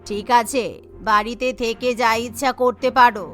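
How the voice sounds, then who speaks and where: Woman voicing firm older mother-in-law, much lower-pitched. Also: fairly even intonation, moderate pace, a bit bright, soft background music, natural voice. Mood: grudging consent. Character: disapproving older mother-in-law of a wealthy household.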